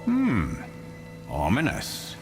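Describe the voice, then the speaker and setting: A man's voice: medium pitch, huge pitch swings, drawn-out words, over music, heavily acted. An actor with a Scottish accent playing a witty, learned, talkative advisor in a video game, wry and eloquent in his delivery. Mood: wry, skeptical. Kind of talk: video game cinematic acting.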